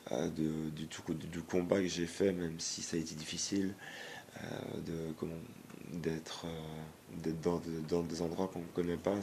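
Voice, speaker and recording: A man, hoarse from a cold, giving a blunt, halting vlog rant full of fillers and restarts. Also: deep voice, a little mellow, slight background noise. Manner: hesitant, many fillers and restarts; blunt, disapproving. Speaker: YouTuber.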